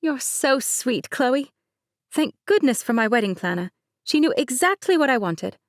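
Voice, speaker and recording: A woman's voice: high voice, big pitch swings, moderate pace, no room sound, clean studio sound, pitched above the performer's own voice. A woman voicing a bubbly, well-off young bride who teases and gushes. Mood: teasing. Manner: gushing, teasing, bright.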